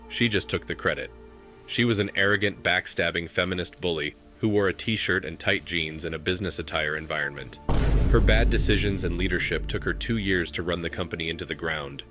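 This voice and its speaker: A man in his thirties hosting a commentary show, reading posts aloud in a steady, measured way. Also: low voice, soft background music. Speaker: commentary host.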